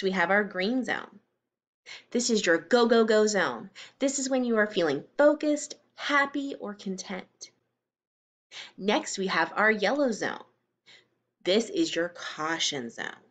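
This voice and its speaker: A woman giving a read-aloud lesson for young children, explaining and questioning in simple, expressive phrases. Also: medium pitch. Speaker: teacher reading aloud to children.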